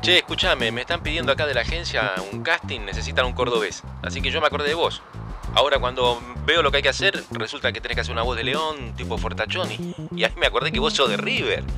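An actor as a teasing Argentine adman rattles along quickly in a medium-pitched voice. He sounds colloquial and jokey, in an audio drama with music clearly behind him. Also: natural voice. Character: joking, teasing Argentine adman chatting with a buddy. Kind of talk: naturalistic audio drama acting.

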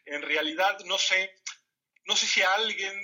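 A man's voice: high voice, measured pace, sharp tone, low-quality recording. A Moroccan man whose first language is Amazigh, a novelist answering interview questions thoughtfully, with restarts and a habit of adding "¿eh?". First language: Amazigh (Riffian). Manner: thoughtful, hesitant with restarts, frequent "¿eh?" tags. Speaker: novelist guest. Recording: radio interview.

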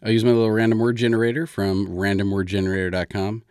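Male storyteller, lowish voice, dry close sound, informal ad-lib delivery with thinking-aloud pauses. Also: completely flat delivery, darkish tone, dry recording. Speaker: storytelling podcast host. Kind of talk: casual improvised storytelling.

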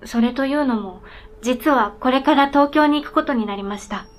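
Woman as polite, high-voiced talking snowmobile. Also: moderate pace, close to an everyday voice. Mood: polite, eager. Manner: polite, formal, matter-of-fact. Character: earnest, polite talking snowmobile with its own identity. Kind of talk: radio drama dialogue.

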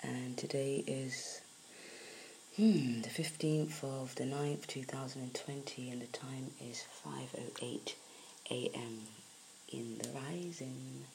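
A woman with a croaky early-morning voice giving a soft, unhurried spiritual podcast talk, with many pauses and fillers. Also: very deep voice, steady tone, a little hiss or hum, low-quality recording.

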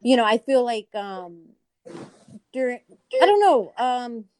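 A woman's voice: fairly high pitch, big pitch swings, unhurried, a little mellow, dry recording. A woman hosting a casual interview, speaking in an informal, effusive way with lots of conversational filler. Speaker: interview host.

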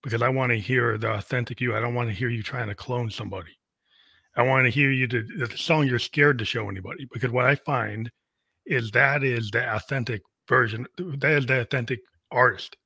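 A man's voice: medium pitch, fairly even intonation, brisk, creaky, bright, forceful tone, husky, no room sound. A man hosting an interview as a music producer, talking in an earnest, reflective way. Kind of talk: casual interview chat.

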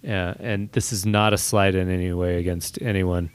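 A man's voice: low voice, little pitch movement, normal speed, clean tone, dry recording. A man in his thirties chatting casually as host, rambling and correcting himself as he goes. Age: 30s. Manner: rambling, hedging, self-correcting. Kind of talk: casual chat.

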